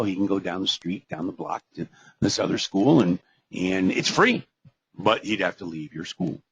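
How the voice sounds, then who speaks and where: A man's voice: on the low side, vocal fry, slightly rough, no room sound. An older man presenting a live webinar on special education, folksy and digressive, with rhetorical questions and many fillers, and he is losing his voice. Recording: dull, lo-fi webinar audio.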